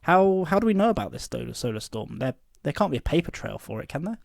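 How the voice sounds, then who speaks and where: An actor playing an earnest podcast host from a post-apocalyptic future, speaking in a measured, presenterly way. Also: male voice, fairly high pitch, very animated, vocal fry, no room sound, clean studio sound. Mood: curious, concerned. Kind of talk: scripted fiction podcast hosting.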